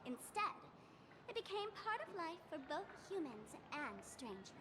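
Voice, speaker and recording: A woman's voice: extremely high pitch, big pitch swings, moderate pace, background noise. A woman playing a playful, confident young female guide in an anime game, teasing as she explains. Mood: explaining.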